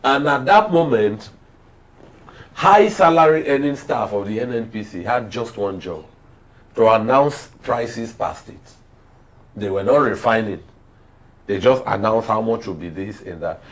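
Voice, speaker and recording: A Nigerian man with a fairly low voice speaks as a panel commentator on politics. His phrasing is measured and oratorical, like someone making a case on a television discussion show. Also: expressive.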